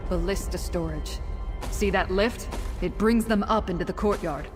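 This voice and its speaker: A woman's voice: mid-range voice, moderate pace, big-room sound, music in the background, natural voice. An actress playing a bold, wry woman warrior in a fantasy game, giving blunt tactical orders. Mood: alert, urgent. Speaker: companion character in a fantasy game. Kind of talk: acted fantasy game dialogue.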